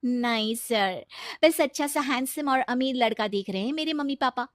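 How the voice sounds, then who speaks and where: A woman voicing a coy, shrewd young aspiring model in an animated story, with a teasing manner. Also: high voice, moderate pace, no echo, clean studio sound, lightly acted. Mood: coy, polite.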